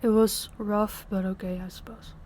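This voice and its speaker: A woman's voice: medium pitch, level tone, normal speed, close to an everyday voice. A woman playing an exhausted, stammering young girlfriend. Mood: weary tender. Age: young adult. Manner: soft, hesitant, stammering restarts. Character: young woman, worn-out, loving girlfriend in debt. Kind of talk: audio roleplay acting.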